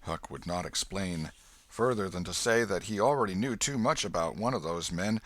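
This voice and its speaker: A man with an American accent reading literary narration for an audiobook in an expressive, deliberate storytelling manner. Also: sits low.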